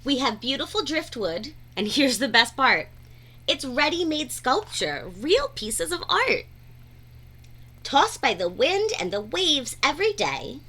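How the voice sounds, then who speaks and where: A woman reading a rhyming children's picture book aloud in an expressive, deliberate, sing-song way. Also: sits high. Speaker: read-aloud storyteller.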